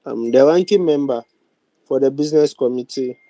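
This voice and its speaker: Young ghanaian guy chairing a meeting, mellow dry voice, slow halting formal delivery. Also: mid-range voice, no echo. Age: young adult. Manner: deliberate, halting, with many pauses. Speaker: speaker of a youth parliament. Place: online video call.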